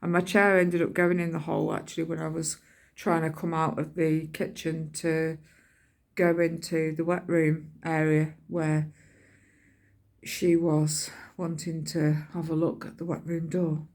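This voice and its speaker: British woman, middle-aged, slightly low pitch, casual vlog chat, colloquial, rambling storytelling with quoted dialogue. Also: level tone, a little slow, a little mellow. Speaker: vlogger.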